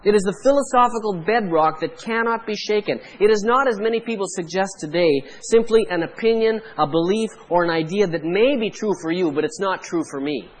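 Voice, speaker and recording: Canadian pastor preaching, high voice. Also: male voice. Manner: measured preaching with emphatic pauses. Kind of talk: sermon.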